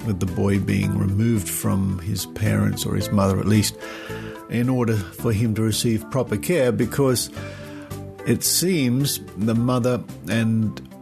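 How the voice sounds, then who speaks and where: An Australian man hosting a podcast, reading news and commentary in measured, deliberate phrases. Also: fairly low pitch, steady tone, creaky, over music. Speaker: podcast host. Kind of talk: scripted news commentary, reading reports aloud.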